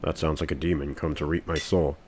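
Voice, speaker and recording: A man reviewing a film in voice-over, with a dry, monotone, deadpan delivery. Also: extremely low pitch, a little fast, background noise.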